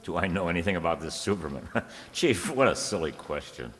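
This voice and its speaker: A man's voice: deep voice, big pitch swings, brisk, fairly bright tone. An actor playing a confident, courteous male newspaper reporter in a radio serial. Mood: polite. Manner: polite, assured. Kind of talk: old-time radio serial acting. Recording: echoey hall.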